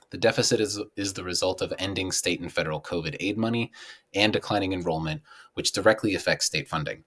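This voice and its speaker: Casting: American male co-host with a low-pitched voice for reading community news headlines. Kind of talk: scripted news reading with light chat.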